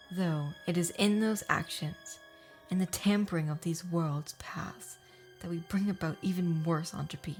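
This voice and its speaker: A woman's voice: on the low side, darkish tone, lightly acted. A woman playing a cold, ominous shadow mage with a formal, stern, menacing way of talking in a fantasy audio drama.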